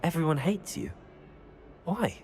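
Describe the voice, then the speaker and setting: Lively, composed British student adventurer. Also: male voice, fairly high pitch, measured pace, slight background noise. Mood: concerned. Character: polite, composed, helpful teenage student adventurer. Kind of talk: video game cutscene acting.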